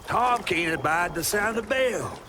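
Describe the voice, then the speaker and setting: An actor playing a folksy, cheeky giant catfish storyteller in a video game, with a drawling American Southern accent. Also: male voice, high-pitched, expressive, a little slow, background noise. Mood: wary, urging. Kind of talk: video game character acting and storybook narration.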